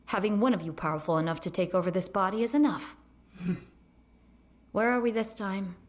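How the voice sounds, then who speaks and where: A woman's voice: medium pitch, moderate pace, natural voice. Actress as a weary, exasperated haunted woman. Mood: weary, wry. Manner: weary, irritable. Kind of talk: audio drama acting.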